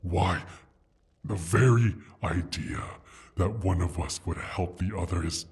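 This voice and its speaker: A mighty wounded dragon with a low, very raspy, breathy voice. He is prideful and disdainful, speaking in slow, halting, theatrical phrases with open menace, as in a fantasy audio roleplay. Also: exaggerated voice. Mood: scornful. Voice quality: husky, breathy rasp. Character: proud, injured male dragon, menacing and haughty.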